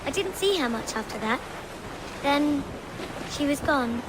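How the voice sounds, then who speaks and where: Young English girl, game cutscene: candid, inquisitive, animated high pitch, noisy sea backdrop. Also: unhurried. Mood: quietly resigned. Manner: inquisitive, precise, matter-of-fact. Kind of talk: naturalistic video game cutscene acting. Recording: noisy wind, waves and creaking ship.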